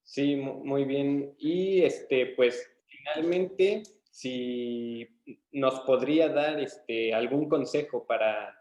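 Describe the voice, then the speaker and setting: Halting young man, roomy sound. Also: medium pitch, a little mellow. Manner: hesitant, halting. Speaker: student interviewer. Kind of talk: polite webinar questioning.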